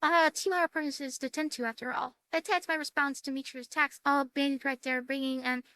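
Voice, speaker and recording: High, bright-voiced woman streaming a game. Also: fairly even intonation, dry recording. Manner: halting, stumbling over words when reading and commenting; casual, swearing. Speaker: streamer playing and reading a visual novel. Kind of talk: gameplay commentary mixed with reading game text aloud. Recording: music behind the voice. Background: music.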